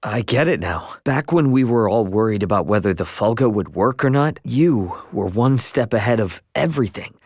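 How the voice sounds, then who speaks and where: A man acting a brash but friendly young spacefaring adventurer in a video game, with a casual, expressive, slangy delivery. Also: on the low side, moderate pace, hardly any pauses, dry recording, studio quality. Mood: sincere.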